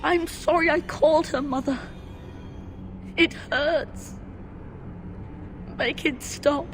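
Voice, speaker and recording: A woman voicing a suffering, frightened young boy in a video game, weak and halting as he whimpers. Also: sits high, steady tone, a little hiss or hum. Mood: apologetic, pained. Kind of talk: dramatic video game voice acting.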